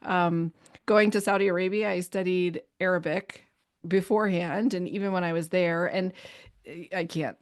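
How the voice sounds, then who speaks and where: Chatty woman guest, lower voice. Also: fairly even intonation, moderate pace, no room sound, studio quality. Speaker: podcast guest. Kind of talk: casual podcast conversation.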